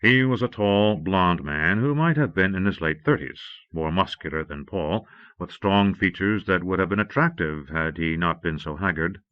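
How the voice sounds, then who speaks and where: A man's voice: deep voice, moderate pace, no echo, muffled recording. A man narrating fiction from a script as an audiobook reader, on an old cassette transfer.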